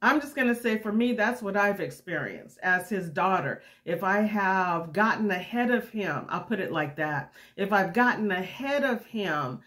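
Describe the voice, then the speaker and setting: A middle-aged woman getting over a cold, a little raspy, giving a candid, unscripted devotional talk to women as a ministry host. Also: mid-range voice, expressive, normal speed, hardly any pauses, a bit bright. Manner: candid, unscripted, repeats and restarts.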